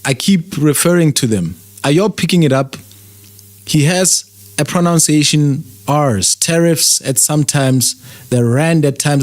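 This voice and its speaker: A man's voice: mid-range voice, no echo. A man hosting a livestream, rambling and sarcastic as he reacts to a video with his chat. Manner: rambling, sarcastic, loosely paced. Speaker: livestream host.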